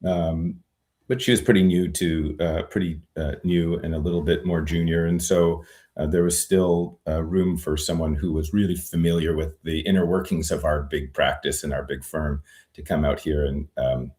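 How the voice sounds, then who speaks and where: Deep-voiced man, reflective and meandering. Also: little pitch movement, normal speed, mellow. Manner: reflective, many fillers and restarts. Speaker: guest, retired immigration lawyer. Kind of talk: conversational interview.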